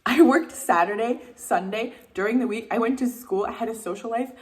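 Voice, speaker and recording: A woman's voice: on the high side, a little fast. A woman in her twenties telling a casual vlog story in a playful, sarcastic way, dropping Italian asides. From Italy and the US. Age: 20s. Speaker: vlogger.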